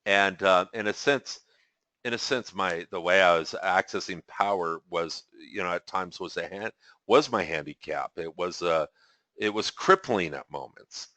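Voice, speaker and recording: A man with a deep voice leading a spiritual webinar over a video call, talking casually, rambling and correcting himself and chuckling often. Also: unhurried, sharp tone, no echo. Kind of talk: casual teaching talk.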